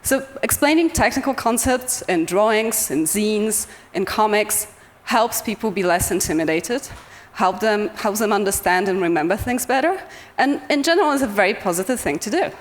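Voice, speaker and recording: A woman's voice: medium pitch, expressive, normal speed. A young woman with a Polish accent talks to a conference audience over a microphone. She sounds cheerful and self-deprecating and laughs often. Her voice carries a long reverb from the hall. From Poland. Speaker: conference speaker. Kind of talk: conference talk.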